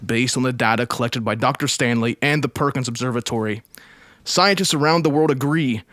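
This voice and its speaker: A man's voice: medium pitch, a bit bright, no echo, lightly acted. A man playing a grave news announcer in an old-style radio drama, reporting an invasion in formal broadcast style.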